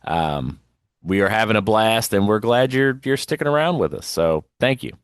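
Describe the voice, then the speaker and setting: Low-voiced man, casual podcast co-host, dry sound. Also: expressive, moderate pace, clear, resonant tone, dry recording. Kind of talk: casual wrestling podcast chat.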